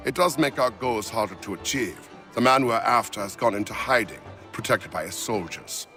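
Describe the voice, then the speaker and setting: An actor playing a calm, persuasive rebel plotter in a video game, articulate and conversational. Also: male voice, fairly low pitch, moderate pace, soft background music. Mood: sly planning. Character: scheming rebel ally, man, calm and persuasive. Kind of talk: video game character dialogue.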